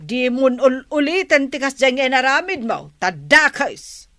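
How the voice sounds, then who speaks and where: Sensible village wife played by an actress, higher voice, unhurried and chiding, dry close sound, radio comedy. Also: female voice, lively intonation, fairly bright tone, dry recording, exaggerated voice. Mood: reproachful. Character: reproving, level-headed village wife. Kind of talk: Ilocano radio comedy drama acting.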